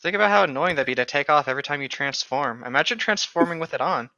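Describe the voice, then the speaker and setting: A man with a sharp, bright voice of medium pitch banters casually as a podcast co-host. He drops flippant, joking asides. The recording is dry and a little dull and lo-fi. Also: animated, quick pace, no room sound.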